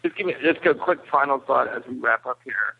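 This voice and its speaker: A man giving his views casually as a podcast guest, halting and rephrasing as he goes, over a narrow phone-like line. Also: mid-range voice, creaky, husky. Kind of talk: casual discussion.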